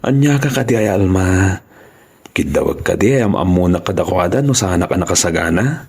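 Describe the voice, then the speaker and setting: Male actor as playful, confident suitor, Filipino accent, deep voice, very animated intonation, drama delivery. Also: a little fast, gravelly, slightly rough, full character voice. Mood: assured, playful. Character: confident, joking young suitor, devoted boyfriend. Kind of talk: radio drama acting.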